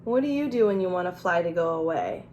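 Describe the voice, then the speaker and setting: A woman teacher reading a picture book aloud to young children, playful and expressive, pausing to ask simple questions and explain. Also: lowish voice, lo-fi sound. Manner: expressive, playful, with gasps and exclamations. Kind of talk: children's storybook read-aloud with questions and asides.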